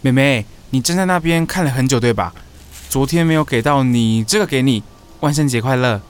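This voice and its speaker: A man's voice: mid-range voice, fairly quick, clear, resonant tone, lightly acted. An actor with a Taiwanese Mandarin accent playing an exasperated young man who mutters and grumbles in colloquial speech in an audio drama. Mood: friendly. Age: young adult. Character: exasperated, puzzled young man living alone. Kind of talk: podcast audio drama, talking to himself.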